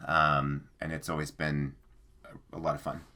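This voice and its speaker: A man in his thirties with a low voice and a bright, forward tone. He talks in a loose, rambling way, full of fillers and "like". He sounds like a co-host in a casual book-channel chat. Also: monotone, normal speed. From American Midwest. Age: 30s.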